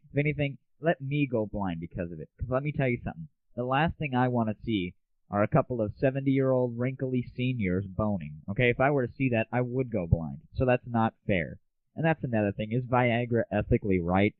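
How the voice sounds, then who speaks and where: A man's voice: medium pitch, no room sound. A young American man hosting a casual solo show of gaming news and comic rants, irreverent, opinionated and slangy. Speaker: host. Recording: tinny, dry, phone-like narrow audio.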